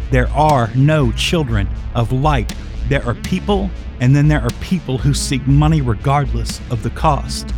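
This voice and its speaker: A man hosting an audio drama, delivering a scripted monologue to listeners in a solemn, emphatic, rhetorical way. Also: medium pitch. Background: music.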